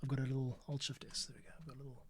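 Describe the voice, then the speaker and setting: A man's voice: mid-range voice, level tone, rapid-fire, slight background noise. A man giving a casual editing tutorial, thinking aloud and muttering to himself as he works at his keyboard. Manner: muttering to himself while working. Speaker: podcast editor giving a tutorial. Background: keyboard typing and mouse clicks.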